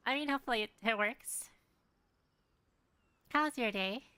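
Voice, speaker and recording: A woman's voice: on the high side, measured pace, bright, forceful tone, clean tone, no room sound. A young woman streaming a game, chatting casually and hesitantly with many fillers. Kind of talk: casual live-stream chat. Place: home streaming setup.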